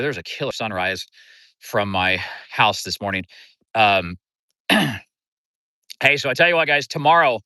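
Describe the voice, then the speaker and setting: A man's voice: medium pitch, clean tone, dry recording. A middle-aged man from Kansas City with a Midwestern accent, chatting casually with viewers on a livestream from beside a river outdoors. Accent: Midwestern American. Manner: relaxed, rambling, greets viewers by name. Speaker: livestream host and fishing coach.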